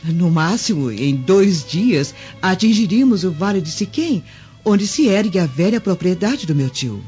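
An earnest, worried maidservant in a radio drama. Her delivery is very expressive and deferential. The recording is dull, with soft music behind her. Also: lowish voice, measured pace. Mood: anxious, earnest. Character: devoted, anxious maidservant urging escape. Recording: muffled lo-fi recording.

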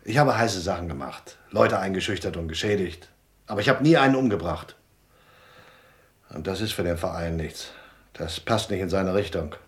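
A hunted gangster who has quit the mob, in a radio play. His voice is low, his manner guarded and terse, tough with anxiety underneath. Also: expressive, normal speed. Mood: grim, confessional. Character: hunted male gangster dropout, tough yet anxious. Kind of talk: German radio drama acting.

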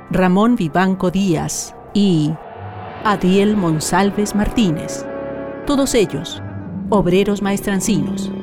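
A woman reads scripted documentary narration for a radio drama in a solemn, deliberate manner. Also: mid-range voice, a little mellow.

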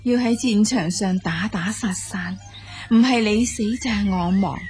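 Stately, reassuring Cantonese empress, acted by a woman, medium pitch. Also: measured pace, darkish tone, breathy edge, faint music behind. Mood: admiring. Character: gracious ancient Chinese empress, praising and consoling. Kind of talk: Cantonese audio drama acting.

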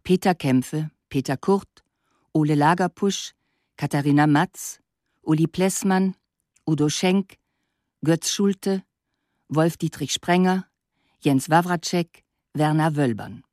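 A woman reading radio drama credits as an announcer, in a formal, neutral, scripted style with clearly separated phrases. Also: deep voice, takes it slowly, pauses often, darkish tone, no echo, studio quality. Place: radio studio.

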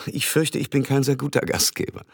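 An actor playing an elegant gentleman in his thirties with formal, courteous manners in a German radio drama. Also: medium pitch, lively intonation, fairly quick, vocal fry, husky, dry recording, clean studio sound. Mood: courteous, apologetic. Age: 30s.

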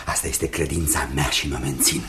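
An actor in a radio drama playing a menacing man obsessed with love, theatrical and intense, shifting from hushed to fierce. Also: very deep voice, fairly even intonation. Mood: cold, insinuating.

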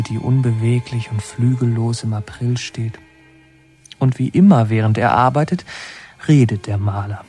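Male actor as brooding young inmate narrator: slow, mellow, reflective, radio drama over music. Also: medium pitch, natural voice. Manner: halting, reflective, poetic. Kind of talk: radio play first-person narration.

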